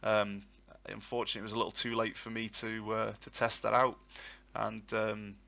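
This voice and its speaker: Gravelly low-ish guy, bright tone, rambly tutor answering q's live. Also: monotone, moderate pace. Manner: rambling, reflective and long-winded. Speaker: Welsh tutor and guest. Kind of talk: live Q&A on a voice chat.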